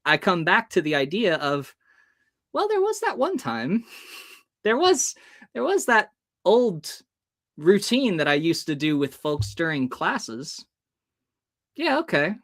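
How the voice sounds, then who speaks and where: Animated American man telling a story. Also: high voice, slow pace, long pauses, no echo, clean studio sound. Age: 30s. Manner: animated, acts out the people in his stories. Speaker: hypnotist guest. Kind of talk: storytelling in an interview.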